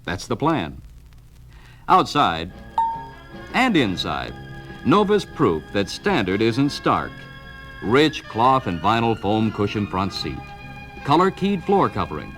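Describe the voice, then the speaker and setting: An American man reads scripted promotional film narration in a punchy, emphatic salesman's delivery, from a vintage 1970 record. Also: medium pitch. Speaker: narrator of a car dealer training film. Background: music.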